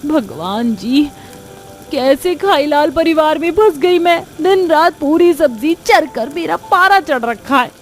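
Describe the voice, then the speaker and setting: A woman voicing a fed-up, sharp-tongued young daughter-in-law who complains in a cartoon. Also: high voice, lively intonation, unhurried, soft background music, voice pushed up. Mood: exasperated. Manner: whining, exasperated complaining.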